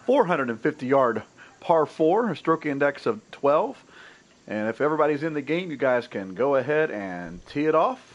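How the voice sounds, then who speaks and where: A man's voice: on the high side, big pitch swings, moderate pace. Stream host, man in his 30s, stuffy nasal voice, upbeat golf commentary, headset, slightly muffled audio. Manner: upbeat, welcoming, talks through his own shots. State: nasal, congested from a cold. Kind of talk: casual game commentary. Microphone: gaming headset.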